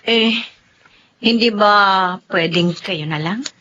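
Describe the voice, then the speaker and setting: A woman's voice: medium pitch, slow pace, a bit bright. A woman with a Filipino accent playing a modest, touchy wife who complains plaintively in a radio drama. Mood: aggrieved, plaintive. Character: modest, touchy young wife, resentful of show-offs. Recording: dull lo-fi audio with faint noise.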